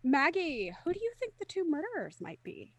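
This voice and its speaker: A woman's voice: high voice, wildly swooping pitch, brisk, a bit bright. A woman hosting a casual group chat, upbeat, steering the talk with questions to each guest. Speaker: host leading the cast discussion.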